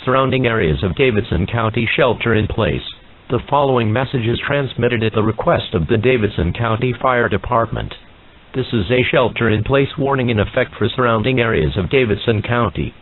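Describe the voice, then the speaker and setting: A man's voice: mid-range voice, a little fast. Man reading alerts over tinny radio band. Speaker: emergency alert announcer. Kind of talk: scripted emergency broadcast announcement. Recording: muffled narrowband radio-like sound.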